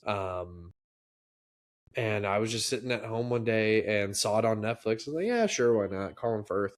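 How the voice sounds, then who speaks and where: A man with a fairly low voice co-hosts a relaxed film podcast. He sounds congested, like he's getting over a cold, and talks in loose, rambling bursts with lots of restarts. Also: normal speed. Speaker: podcast co-host. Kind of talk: casual chat.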